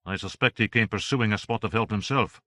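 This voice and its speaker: A tiny, dry-witted old philosopher who speaks wryly in a slightly raised voice, as a male narrator puts him on. The delivery is measured and judicious. The sound is dry and close. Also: on the low side, bright, forceful tone, no echo. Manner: wry, judicious.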